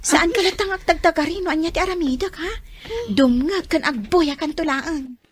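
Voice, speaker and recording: A woman's voice: very high-pitched, normal speed. A woman playing a catty, scheming young student daughter in a Filipino radio drama. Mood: sly, sweet. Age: young adult.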